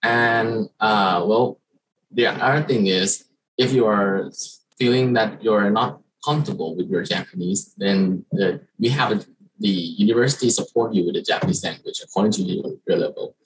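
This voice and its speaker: A young man from Thailand, a Thai native speaker, giving a slide presentation as an international student on a video call, halting with many fillers and restarts. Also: lowish voice, breathy edge, rough recording. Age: young adult. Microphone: video call webcam.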